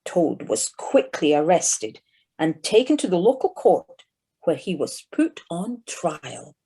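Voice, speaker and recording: A woman's voice: on the low side, very animated, slow pace, warm tone, slightly rough. A woman narrating an audio play as a storyteller, theatrical, with emphasis on key words. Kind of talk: scripted dramatic storytelling. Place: living room, recorded over video call.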